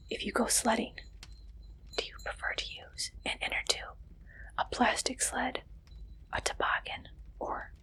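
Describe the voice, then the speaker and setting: A woman's voice: fairly high pitch, bright, forceful tone. Whispering woman, ASMR interview roleplay. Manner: playful, teasing.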